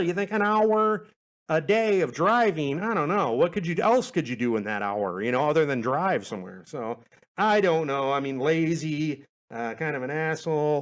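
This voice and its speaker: A man with a fairly high-pitched voice hosts a podcast. He chats loosely and casually, wandering from thought to thought. His manner is self-deprecating and peppered with swearing. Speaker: podcast host. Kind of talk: rambling casual chat.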